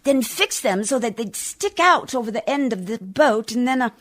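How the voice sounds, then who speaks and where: A prim, determined lady played by a woman in old-style radio drama. Her voice is fairly high and very expressive, with formal, precise wording and a persistent, questioning manner. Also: moderate pace. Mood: eager, scheming. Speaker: actor in a community radio theatre.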